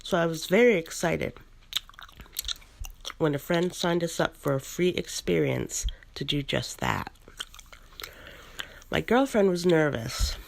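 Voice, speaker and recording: A woman chewing gum as she narrates a first-person ghost story in an ASMR style, pausing between short phrases. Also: deep voice, animated, gravelly, slight background noise. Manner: chews gum while narrating.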